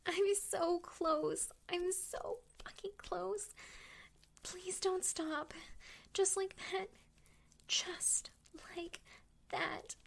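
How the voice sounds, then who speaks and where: High, breathy woman, intimate and slow. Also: fairly even intonation. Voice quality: breathy, often whispered. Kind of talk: intimate erotic audio roleplay, addressing a partner.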